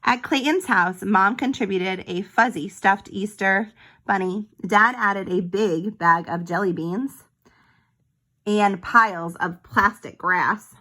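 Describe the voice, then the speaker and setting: A woman with a bright, forward voice and a middle pitch reads a children's story aloud. She sounds like a teacher, telling it expressively and pausing for little explanations to her young listeners. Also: low-quality recording. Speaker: teacher reading a picture book aloud.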